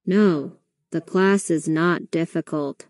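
A woman reading an example sentence deliberately for language learners. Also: on the low side, a little mellow.

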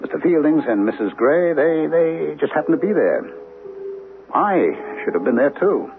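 A man's voice: fairly high pitch, sing-song, moderate pace. An actor playing an anguished man haunted by his own survival, brooding and insistent in vintage radio drama style. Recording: muffled old radio recording, music behind.

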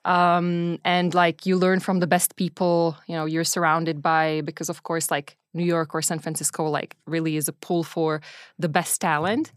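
Smooth lower-pitched woman in conversation. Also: little pitch movement, normal speed, no room sound, studio quality. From Czech Republic. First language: Czech. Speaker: interview guest, venture capital investor. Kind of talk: casual conversational interview.

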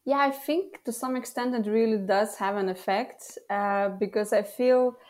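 A woman's voice: a little high, normal speed, a little mellow. A young woman whose first language is Lithuanian, talking as a guest over a video call, chatty, with frequent laughs and fillers. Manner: laughs often, many ums and uhs. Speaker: guest copywriter. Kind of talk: casual remote interview.

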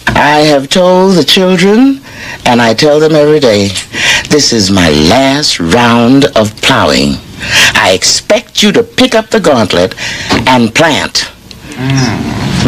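An older woman speaking in solemn, deliberate oratory, like an elder addressing the young. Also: very deep voice, big pitch swings, slight background noise, rough recording.

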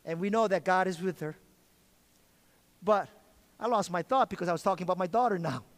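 A man's voice: sits high, a little fast. A middle-aged man preaching an earnest sermon as pastor, often repeating and restarting his phrases and calling for amens. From Korean heritage. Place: large church sanctuary. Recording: long reverb of a church hall.

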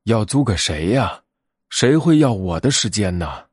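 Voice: medium pitch, sing-song, unhurried, breathy edge, dry recording, clean studio sound. A man voicing a retired, good-natured old grandfather bear in a storybook tale. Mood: surprised.

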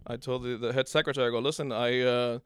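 Middle-aged guy from cuba rambling through a story, smooth clean voice, dry room. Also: mid-range voice, little pitch movement, fast talker, dry recording. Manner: rambling, repeats and restarts words, storytelling. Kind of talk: casual conversation.

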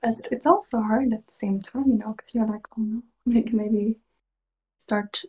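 A woman's voice: fairly high pitch, steady tone, unhurried, husky. A young Swedish woman, a native Swedish speaker with a mixed English and Australian accent, chatting as a podcast guest, laughing often and drifting into whispers. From Sweden. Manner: giggly, slips into soft whispers. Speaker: podcast guest, ASMR creator. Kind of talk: casual interview chat.

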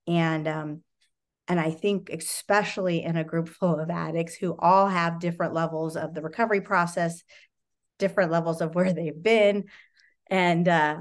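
A woman sharing reflectively in a recovery study meeting over a video call, pausing often with fillers as she searches for words. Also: fairly low pitch, steady tone.

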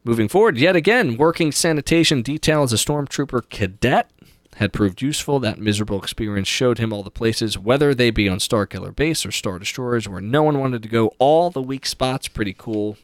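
A man's voice: medium pitch, expressive, a little fast, dry recording. Dry-sounding man hosting a podcast. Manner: opinionated, conversational asides. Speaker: podcast host. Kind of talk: casual book recap with excerpts read aloud.

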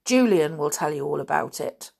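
A woman's voice: deep voice, lively intonation, moderate pace, vocal fry, darkish tone, heavily acted. A woman voicing a headstrong, impatient young tomboy girl in a children's story. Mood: sulky.

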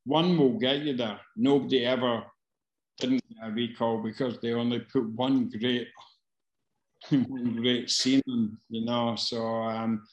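Casting note: man, casual casting-director Q&A, hesitant and restarting, mid-pitched, roomy echo. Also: steady tone, highs cut off. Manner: halting, many fillers and restarts. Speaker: casting director answering questions. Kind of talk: casual Q&A advice.

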